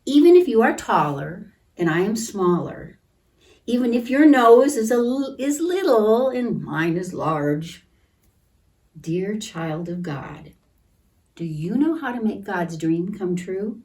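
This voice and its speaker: A woman reading a picture book aloud to children in a gentle, deliberate manner, pausing to comment and ask questions. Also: mid-range voice, sing-song. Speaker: children's storytime reader at a church. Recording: distant microphone.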